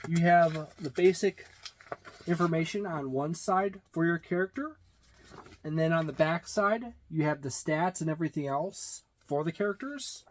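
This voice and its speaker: A middle-aged man giving a casual, halting, matter-of-fact unboxing and review, recorded in a small room. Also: a little high, slight background noise. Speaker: tabletop game reviewer. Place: small indoor room with packed shelves.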